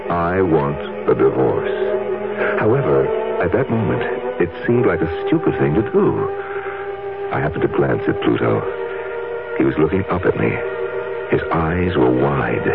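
Very deep, gravelly scheming husband. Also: male voice, big pitch swings, measured pace, full character voice. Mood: dark narration. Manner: dramatic, suave, brooding. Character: tormented, smooth-talking husband who married for money. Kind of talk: 1970s radio drama acting and narration. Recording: dull lo-fi 1970s radio recording, loud music.

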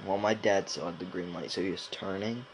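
A man's voice: deep voice, fairly even intonation, normal speed, background noise. A young man co-hosting a casual podcast, rambling along in informal slang with lots of filler words. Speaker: podcast co-host.

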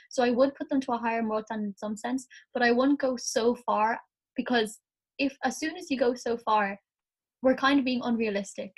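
A young Irish woman, a student, talking through ideas in a casual class discussion, her voice a little croaky from the morning. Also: a little high, rough recording. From Ireland. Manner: chatty, thinks aloud, frequent "like".

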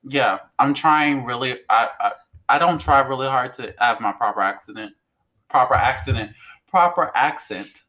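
A young man from New Orleans co-hosting a podcast, talking in a loud, animated, profane way. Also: medium pitch, a little slow. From New Orleans, Louisiana. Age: young adult. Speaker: podcast co-host. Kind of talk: casual chat.

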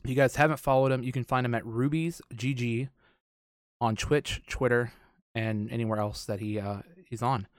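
A man hosts a casual podcast in an informal, wordy way. His voice sits at an average male pitch. The recording is dry, with very little room around it. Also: steady tone, normal speed, no room sound. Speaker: podcast host.

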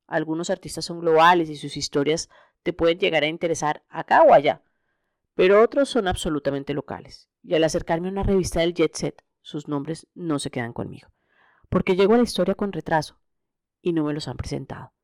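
A woman's voice: on the low side, a little mellow, no room sound, studio quality. A middle-aged woman with a Colombian accent hosting a podcast alone, reading a scripted personal narration. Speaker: podcast host.

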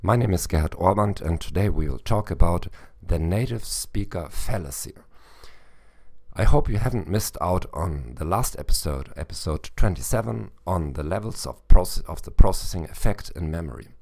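A man with a very low voice, rough and hoarse, talks through a solo teaching podcast. His speech is gravelly with vocal fry, and he pauses often, filling gaps with "uh" and restarting his sentences. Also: lively intonation, darkish tone. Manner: halting, with many "uh" fillers and restarts. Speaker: podcast host and language teacher. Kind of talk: solo educational podcast talk.

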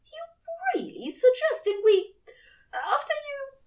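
A woman voicing an exasperated, commanding male angel in an audio story, agitated and breathy in delivery. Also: very high-pitched, very animated, slow, darkish tone, far from the mic, voice pushed up, exaggerated voice. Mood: disbelieving. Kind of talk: dramatic audio fiction reading.

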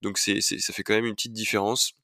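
A man's voice: lowish voice, flat delivery, quick pace, creaky, sharp tone, dry recording, studio quality. A young man hosting his own podcast while he has a cold, talking casually with many fillers and restarts. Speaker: podcast host. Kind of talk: solo podcast chat.

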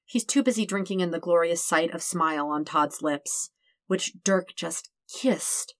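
A woman's voice: fairly low pitch, unhurried, no room sound, studio quality. A woman reading a fictional story aloud as a scripted narration. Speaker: podfic reader.

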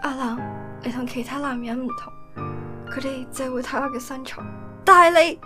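A woman with a Hong Kong accent playing an excitable, easily scared little sister in a comedic radio drama, with dramatic, exclamatory delivery. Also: high-pitched, normal speed, over music, lightly acted. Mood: melodramatic.

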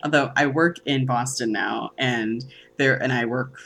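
A woman's voice: very deep voice, unhurried, creaky, a bit bright. A young woman from Massachusetts, chatting and laughing easily as a podcast co-host. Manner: giggly, upbeat. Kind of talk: casual chat.

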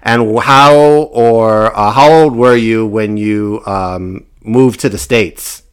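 A man hosting a podcast interview, asking questions in a measured way with brief acknowledgments. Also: on the low side, clean tone. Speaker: podcast host.

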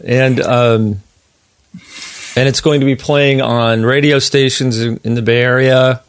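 A man interviewing someone in a casual, chatty way, somewhat halting as he searches for names and details. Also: medium pitch, steady tone, clean tone, no room sound.

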